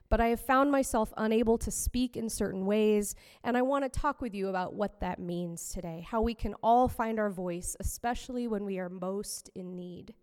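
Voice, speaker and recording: A woman minister giving a personal, reflective sermon in a measured way. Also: mid-range voice, smooth. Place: fellowship hall. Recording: long hall reverb.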